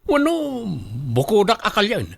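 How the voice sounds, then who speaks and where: A man playing a stubborn, excitable young son in a radio drama, with a whining, eager way of arguing. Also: high voice, measured pace, gravelly, exaggerated voice. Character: stubborn, excitable grown son dreaming of riches. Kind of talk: Ilocano radio drama acting.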